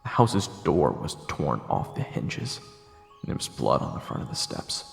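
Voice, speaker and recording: A man's voice: very deep voice, sing-song, normal speed, creaky. A man reading scripted first-person story narration for a fantasy audio drama. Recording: echoey, long reverb, faint music behind. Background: music.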